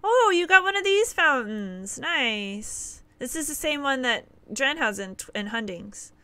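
A woman's voice: high-pitched, animated, unhurried, bright, forceful tone, smooth, no room sound. A woman in her thirties from Nova Scotia with a Nova Scotian accent, chatting upbeat with viewers while streaming a game, laughing often. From Nova Scotia, Canada. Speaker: livestreamer. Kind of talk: casual live gameplay chat with viewers. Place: small indoor room.

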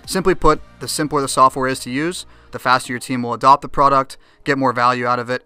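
A young man reads a software promo script. He speaks briskly with a bright, forceful tone. Soft music runs underneath. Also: mid-range voice. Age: young adult. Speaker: software product presenter.

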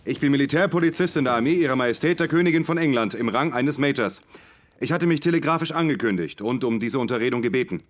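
An actor playing a middle-aged army major in an audio drama, earnest, formal and forceful. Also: male voice, medium pitch, fast, close to an everyday voice.

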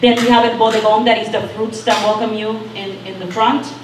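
A young woman talks casually about the art on show, with a fairly high voice. She is heard from far away in an echoey gallery with loud noise behind her. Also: level tone, a little fast. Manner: casual, laughs while talking. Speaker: exhibiting artist.

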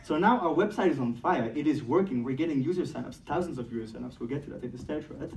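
A man in his thirties from Belgium with a Belgian French accent, giving a playful, energetic startup talk on stage and acting out dialogues. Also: medium pitch, quick pace, vocal fry. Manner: playful, acts out dialogues, laughs at own jokes. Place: large dark event room on a stage. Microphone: distant mic, speaker far from camera. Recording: roomy sound from a distant camera.

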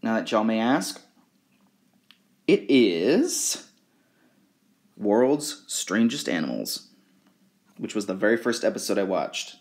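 A man talking casually and a little haltingly, presenting items in an informal show-and-tell vlog. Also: on the low side, very animated, darkish tone. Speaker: video creator showing fan merchandise.

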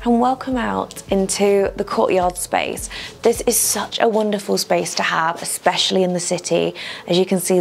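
A woman's voice: lowish voice, creaky. A young woman presenting a casual walk-through house tour on a lapel mic, gushing with enthusiasm and calling nearly everything wonderful. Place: small cottage rooms and brick courtyard.

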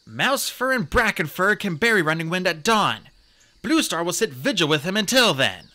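A man playing an urgent, forceful warrior tomcat. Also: sits high, big pitch swings, normal speed, pitched above the performer's own voice, full character voice. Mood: commanding. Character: earnest young male warrior cat, urgent and commanding.

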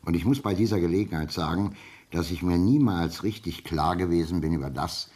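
A man's voice: low-pitched, a little fast, a little mellow, breathy edge. An older man playing a proud, principled father-in-law in a radio play, speaking in a dignified, formal and protesting manner. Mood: humbled, formal.

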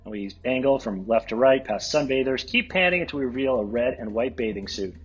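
A man narrating stage directions from a script at a table read, with a very husky voice. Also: medium pitch, brisk. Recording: dull lo-fi audio, music behind.

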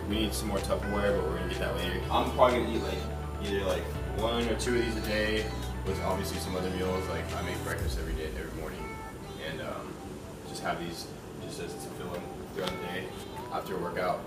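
A young man talks through his cooking in a relaxed, rambling way, full of likes and ums. His voice sits low. A small kitchen gives it an echoey ring off the walls. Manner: laid-back, filler-heavy talk with many likes and ums. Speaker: fitness vlogger. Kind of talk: casual vlog chat. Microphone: camera mic at arm's length.

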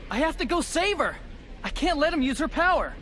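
A man's voice: extremely high pitch, very animated, brisk, fairly bright tone, full character voice. An actor playing an impulsive, loyal teenage boy hero with a casual, emotional delivery in a video game drama. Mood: alarmed.

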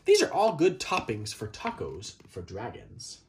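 Wildly animated man reading a storybook. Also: medium pitch, a little slow, a little hiss or hum. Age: 30s. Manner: theatrical, exaggerated, playful delivery with swoops and dramatic gasps. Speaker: storybook reader. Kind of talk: animated children's picture book read-aloud. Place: small child's room indoors.

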